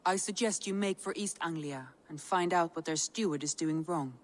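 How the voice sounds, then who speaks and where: An actress playing a composed adviser in a video game cutscene, briefing clearly and informatively. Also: female voice, on the low side, moderate pace, slight background noise, lightly acted. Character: composed female adviser, adult.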